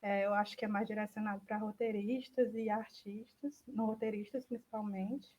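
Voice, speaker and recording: A woman's voice: mid-range voice, steady tone, normal speed, a little mellow. A woman with a Brazilian accent giving an informal online talk in a tentative, self-correcting way. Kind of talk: informal presentation. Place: indoors on an online video call.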